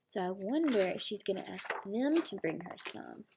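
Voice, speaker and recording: A woman's voice: mid-range voice, lively intonation, normal speed, noisy background. A woman reading a children's picture book aloud like a teacher, with explanatory asides. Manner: explains words and story asides. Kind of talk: children's storybook read-aloud.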